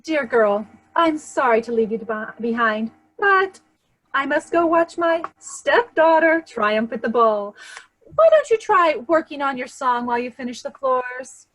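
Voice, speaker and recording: A woman's voice: high-pitched, lively intonation, a little slow, fairly bright tone, full character voice, low-quality recording. A woman playing a haughty, falsely sweet wicked stepmother in a children's fairy tale play, with an affected, condescending manner. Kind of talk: staged reading of children's theater fairy tale.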